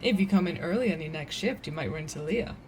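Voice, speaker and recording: A woman playing an excitable, playful young coworker chatting casually and breezily in an audio drama. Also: fairly low pitch, fast, slight background noise, lightly acted.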